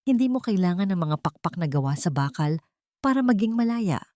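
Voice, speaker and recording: A woman pilot muses in a soft, gentle voice, spoken by a woman narrator. Her pitch sits low but moves in a lively way. The sound is close and dry. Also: brisk, no echo, natural voice. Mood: reflective. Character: woman pilot, calm and reflective.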